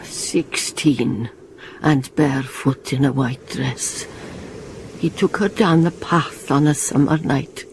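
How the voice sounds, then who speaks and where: A woman's voice: deep voice, gravelly, a little mellow, a little hiss or hum, full character voice, poor audio. An older woman playing a brooding village witch who narrates a grim horror tale in an ominous, incantatory way, in a radio drama.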